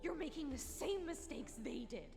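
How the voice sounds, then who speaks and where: A woman's voice: high-pitched, lively intonation, normal speed. A woman playing a righteous, indignant challenger in a video game, earnest and accusing in delivery. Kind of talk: dramatic video game voice acting. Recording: long reverb, clear music underneath.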